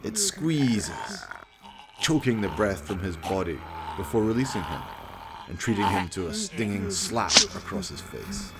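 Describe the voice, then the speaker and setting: A man's voice: lowish voice, huge pitch swings, unhurried, a crowd in the background. A man giving theatrical, wry scripted narration for a horror radio play. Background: music.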